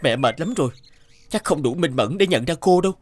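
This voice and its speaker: An actor playing a businesslike young man in an animated drama. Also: on the high side, fairly quick, lightly acted. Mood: concerned. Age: young adult. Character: businesslike young man, concerned son-in-law. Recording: loud guitar music underneath.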